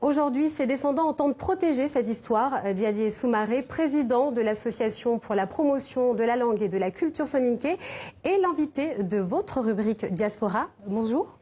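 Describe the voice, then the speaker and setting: A woman TV presenter interviews a guest. She reads a polished intro, then asks her questions with frequent hesitations. Her voice sits a little high and sounds warm and mellow. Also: lively intonation, normal speed.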